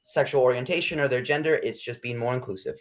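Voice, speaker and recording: A man talks through his interview answers in a loose, rambling way. He hedges and restarts often, filling gaps as he goes. His pitch sits in an ordinary middle range for a man. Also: fast. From United States. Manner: rambling, self-conscious, hedging with fillers. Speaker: interview guest. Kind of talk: casual interview chat.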